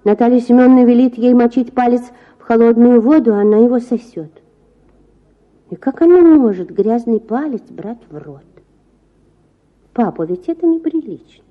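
A woman's voice: a little high, moderate pace, narrow, tinny audio, voice pushed up, lightly acted. A woman voicing a chatty, earnest little boy in a storybook, with naive, singsong prattle. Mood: earnest, indignant.

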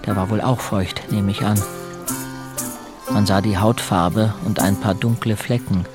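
An actor narrating a first-person story of boyhood in a German audio drama, plain and measured. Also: male voice, on the low side, fairly even intonation, close to an everyday voice. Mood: observant. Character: male narrator looking back on a rough boyhood. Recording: faint music behind.

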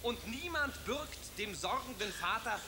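Indignant scornful declaimer. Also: male voice, extremely high pitch, animated, unhurried, background noise, full character voice. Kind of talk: radio drama.

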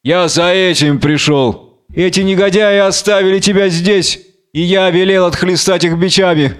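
A man's voice: high-pitched, normal speed, smooth, pitched above the performer's own voice, lightly acted. A man reading the part of a commanding, scheming Philistine lord, imperious and falsely solicitous, in a biblical tragedy.

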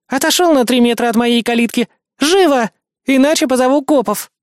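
A narrator voicing a furious, sharp-tongued young woman officer who snarls and snaps in an audiobook story. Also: high voice, fairly quick, dry recording, studio quality, pitched above the performer's own voice, natural voice. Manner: snarling, snapping, scornful.

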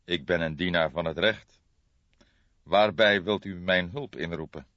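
Man playing a low, composed police detective. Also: no echo, highs cut off. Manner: deliberate, composed, formal. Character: calm, measured, formal male police detective. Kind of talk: audiobook character voice.

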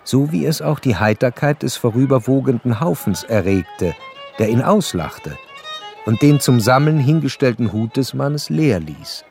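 An actor playing an educated, curious gentleman who narrates a literary radio play in a measured, articulate way. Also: mid-range voice, animated, gravelly. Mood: observant, intrigued. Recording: studio radio play over faint violin music.

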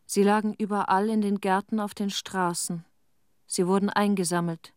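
Actress as young female survivor, medium pitch, wistful, measured simple storytelling, dry radio play recording. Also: steady tone, dry recording, lightly acted. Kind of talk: radio drama monologue.

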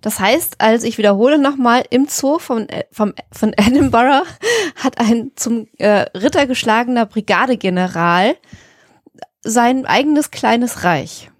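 A woman's voice: on the high side, a little slow, no echo, clean studio sound. A woman co-hosting a podcast, telling a story casually in a playful, teasing way. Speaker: podcast co-host.

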